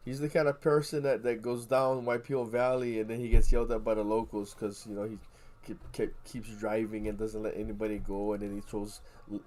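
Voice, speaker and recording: A man from Hawaii co-hosting a casual podcast, telling stories in an animated way and swearing freely. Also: on the low side, fairly quick. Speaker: podcast co-host. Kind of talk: casual chat, storytelling.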